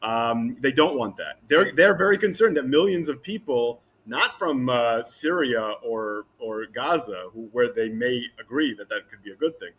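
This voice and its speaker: A man giving a guest interview, talking in a halting way with many restarts and repeated words. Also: mid-range voice, lively intonation, a bit bright, slightly rough. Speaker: guest commentator. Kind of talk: interview conversation.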